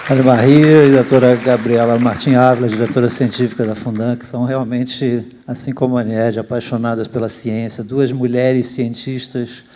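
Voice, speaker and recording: A man's voice: medium pitch, level tone, normal speed, few pauses, mellow, a faint crowd, a little hiss or hum. Man moderating a public panel. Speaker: panel host.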